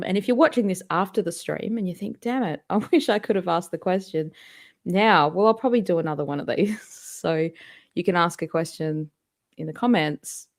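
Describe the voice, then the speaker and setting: A woman's voice: on the low side, vocal fry. A woman from Australia with a Western Australian accent, a musician chatting casually with fans on a live stream from home at her computer microphone, rambling with lots of ums and frequent laughs. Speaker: musician hosting a live fan Q&A. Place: home, indoors. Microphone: desktop microphone at a computer.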